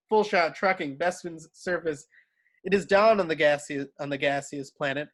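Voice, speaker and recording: A man's voice: fairly high pitch, fairly bright tone. A man reading screenplay stage directions aloud as scripted narration.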